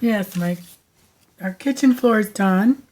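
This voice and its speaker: Raspy chatty woman rambling at home. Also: mid-range voice, slow, a little mellow, slight background noise. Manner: chatty, digressive, lots of fillers. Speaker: crafting channel host. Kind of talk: rambling casual chat. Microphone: no microphone, camera mic.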